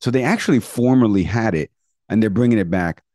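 A man's voice: fairly low pitch, brisk, a little mellow, dry recording, clean studio sound. An American man co-hosting a podcast, giving casual news commentary in an emphatic way, often rephrasing his points. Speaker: podcast co-host.

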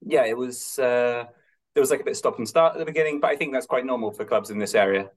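Non-irish accent guy guesting on a podcast, rambles a bit, hesitant, mid pitch. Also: fast talker. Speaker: podcast guest, Gaelic games club member. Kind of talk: casual interview chat.

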